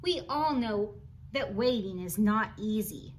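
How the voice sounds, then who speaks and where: A woman reading a picture book aloud to children in an expressive, encouraging, teacherly way, adding chatty asides and questions for the listener. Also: mid-range voice, unhurried, a little hiss or hum. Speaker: storybook reader for children.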